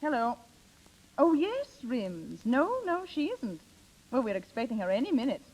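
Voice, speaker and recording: An actress playing a meddling, gushy and insincere married older sister in 1930s radio style. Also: female voice, fairly high pitch, very animated, unhurried, darkish tone. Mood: sweetly false. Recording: muffled 1930s radio recording.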